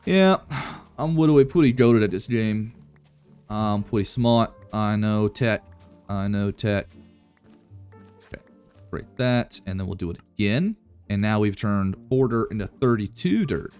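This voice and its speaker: A man's voice: on the low side, animated, measured pace, darkish tone, smooth, soft background music. A man giving casual, rambling gameplay commentary and answering chat, joking about himself and then boasting. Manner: rambling, self-deprecating, playfully cocky. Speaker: Minecraft YouTuber.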